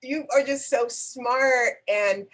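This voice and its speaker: A woman interviewing a guest in a live online conversation, speaking haltingly with many pauses and restarts and warm encouragement, heard over a video call. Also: high voice. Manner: effusive and encouraging. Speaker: interviewer for a nonprofit network.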